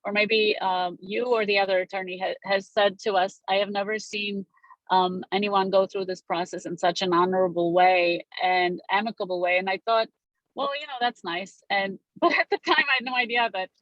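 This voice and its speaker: A woman's voice: medium pitch, no echo, dull audio. A middle-aged woman born in Romania, a realtor guest chatting casually in an interview, gracious and full of fillers.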